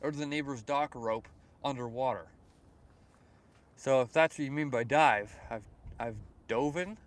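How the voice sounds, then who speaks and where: A man's voice: mid-range voice, a little slow. A young man from Canada chatting casually on a fishing livestream outdoors by a lake, reading comments aloud and rambling with repeated words. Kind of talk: casual live-stream chat reading comments.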